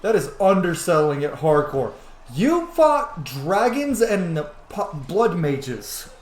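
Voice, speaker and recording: A man's voice: high-pitched, sing-song, slow. A man giving casual, conversational commentary on a game playthrough, blunt and reactive. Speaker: gamer, roleplay host. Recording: echoey room, some noise.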